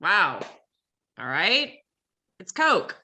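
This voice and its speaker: A woman's voice: medium pitch, sing-song, drawn-out words, pushed and bright, heavily acted. A woman playing a dry-witted label artist with a sardonic manner in a comedy table reading. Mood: dry, cool. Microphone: video call.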